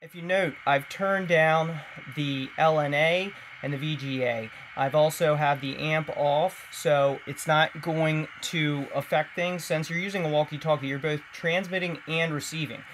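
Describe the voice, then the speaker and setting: A man's voice: a little high, steady tone, measured pace, a bit bright. A man giving a casual, conversational hands-on tech tutorial, explaining as he goes. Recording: clearly noisy home-video recording.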